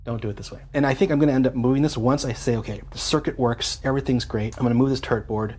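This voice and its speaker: A man's voice: mid-range voice, fairly even intonation, racing, darkish tone, a little hiss or hum. A man giving a casual, hands-on workshop walkthrough in an enthusiastic, geeky, colloquial way. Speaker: DIY amp builder and host.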